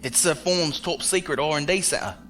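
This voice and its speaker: Man acting a bright-voiced, folksy space security man. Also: a little high, moderate pace, lightly acted. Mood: briefing eagerly. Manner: colloquial, folksy slang. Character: rough-spoken ex-security chief, blunt, loyal male spacer. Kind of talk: science fiction audio drama acting.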